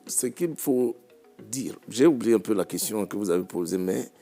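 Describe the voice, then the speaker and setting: Cameroonian guy on a tv panel, lowish mellow voice, slow and reflective, faint music under it. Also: expressive. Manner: reflective, unhurried, repeats phrases. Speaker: musician guest. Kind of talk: panel talk show discussion.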